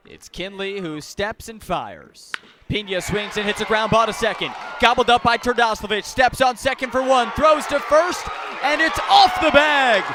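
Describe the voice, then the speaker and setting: A man calling baseball play-by-play live on the radio, phrasing deliberately and swelling on the big plays. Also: high-pitched. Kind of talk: live radio sports commentary. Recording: noisy outdoor ballpark with crowd. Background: ballpark crowd noise.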